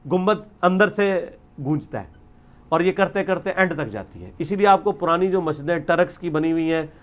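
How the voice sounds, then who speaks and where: A man's voice: high-pitched, moderate pace, dull audio. A man with a Pakistani accent giving an informal religious lecture, arguing and explaining off the cuff with English words mixed in.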